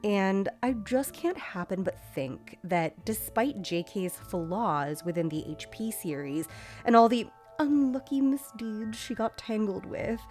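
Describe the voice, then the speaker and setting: Very lively woman reading a comment aloud. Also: mid-range voice.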